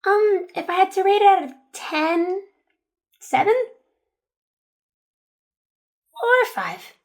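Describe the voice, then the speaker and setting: A woman's voice: extremely high pitch, lively intonation, unhurried. An actress playing a sheltered but defiant, tender teenage girl in an audio drama, with an earnest, hesitant manner. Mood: flustered. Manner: hesitant, earnest, stammering when flustered.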